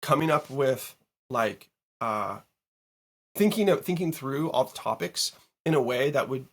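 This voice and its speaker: A man who is a guest producer, chatting casually on a podcast, slightly hoarse, animated and swearing as he tells stories. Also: mid-range voice, measured pace. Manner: animated, swearing, mock-quoting people.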